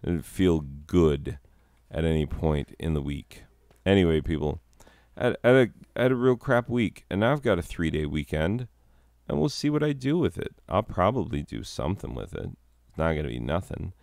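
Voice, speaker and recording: A man, a teacher who hosts his own gaming videos, telling a long rambling story about his week in a self-deprecating way, his voice a little hoarse from a cold. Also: sits low, expressive, normal speed, darkish tone, no room sound, clean studio sound. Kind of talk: rambling casual commentary over gameplay.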